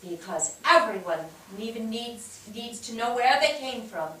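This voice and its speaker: A woman's voice: on the high side, animated, measured pace, sharp tone, slight background noise. A woman acting an earnest, declamatory narrator of family history in a staged play reading. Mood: earnest, explanatory. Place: theatre stage, recorded from afar. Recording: distant microphone in echoey theatre.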